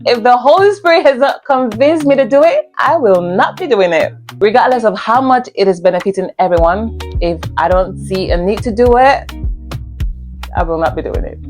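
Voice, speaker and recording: A woman's voice: medium pitch, normal speed, a little mellow. A young woman from Nigeria with a Nigerian accent, talking earnestly to camera on her first vlog and repeating herself for emphasis, with faint music behind. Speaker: vlogger. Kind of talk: casual talk to camera.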